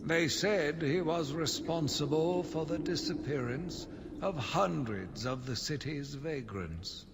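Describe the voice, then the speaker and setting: An actor playing a sinister, self-righteous madman, delivering a theatrical, deliberate villain's monologue for a video game. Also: on the high side. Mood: loathing, obsessive. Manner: theatrical, deliberate, brooding delivery with dramatic emphasis. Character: sinister, zealous, self-righteous madman obsessed with punishing monsters. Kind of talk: dramatic scripted monologue, audio journal from a video game. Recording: long reverb, clearly noisy background ambience.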